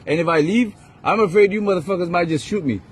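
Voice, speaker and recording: A man's voice: sits high, quick pace, slightly rough. A man with a foreign accent, a rideshare driver, arguing in a challenging, indignant way on a video recorded outdoors. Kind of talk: confrontational argument caught on phone video. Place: outdoor parking lot. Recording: noisy outdoor street, vehicle sounds.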